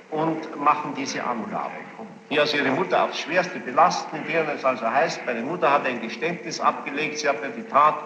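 A man questions a witness as presiding judge, formally and insistently, in deliberate phrasing. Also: mid-range voice. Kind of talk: courtroom questioning. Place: large reverberant courtroom with gallery. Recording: noisy, muffled 1960s courtroom tape, echoing hall.

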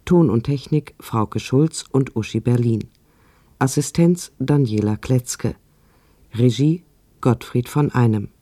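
Male radio announcer, very mellow and unhurried, close dry sound, formal scripted credits. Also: mid-range voice, no echo.

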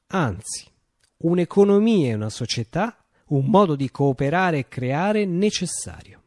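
A man's voice: on the high side, darkish tone, dry recording, clean studio sound. Man playing a declamatory, swooping revolutionary visionary. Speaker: actor performing a radio play. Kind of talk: theatrical radio monologue.

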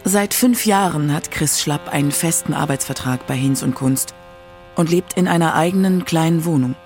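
A woman's voice: sits low, normal speed, a little mellow, soft background music. A woman narrating a scripted radio documentary in a factual narrator's style.

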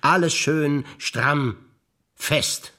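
An older man, a stage actor reciting memories in a rhythmic, deliberate listing manner with pauses. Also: fairly high pitch, sharp tone.

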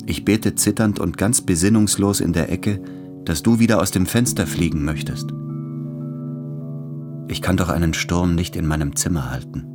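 An actor plays a needy, anxious man in a literary radio drama. His voice is low, and he confesses his dread in a brooding manner. Music runs clearly underneath. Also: moderate pace, natural voice. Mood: fearful, confessional. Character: anxious, fearful, needy man in love, tormented writer.

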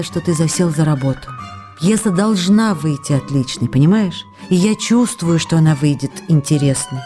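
A woman's voice: on the low side, dark tone. An actress reading a passionate young actress's letters to her husband in a radio play, ardent and reproachful by turns. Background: music.

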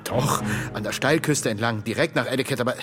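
A man's voice: mid-range voice, brisk, over music, lightly acted. A man playing a cautious, doubtful aide in a German radio drama, deferential and a little hesitant.

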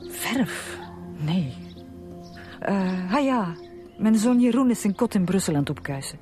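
A woman's voice: medium pitch, lively intonation, measured pace, mellow, faint music behind, natural voice. An actress playing a proud, defensive middle-aged mother in a radio drama, speaking curtly with a Flemish accent. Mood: evasive. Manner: curt, defensive. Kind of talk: naturalistic radio drama acting.

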